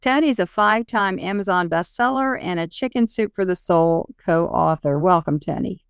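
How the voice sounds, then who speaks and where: Female podcast host, lower-pitched smooth, clean voice, close dry sound, interview delivery. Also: normal speed, dry recording. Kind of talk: introducing and interviewing a guest.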